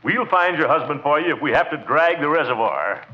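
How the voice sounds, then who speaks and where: An actor playing a pompous, excitable middle-aged uncle in a 1940s radio comedy, blustering and fussing with sighs and exclamations. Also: male voice, a little high, a little fast, over loud music, close to an everyday voice. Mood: self-important, reassuring. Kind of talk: 1940s radio sitcom acting. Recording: muffled, hissy 1940s radio recording.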